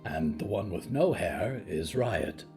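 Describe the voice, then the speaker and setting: A man voices a gentle undead figure in an audio drama, low and deliberate. The speech is formal and stilted, slow and matter-of-fact, over faint music. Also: animated. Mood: calm, explanatory. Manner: stilted, formal, careful wording.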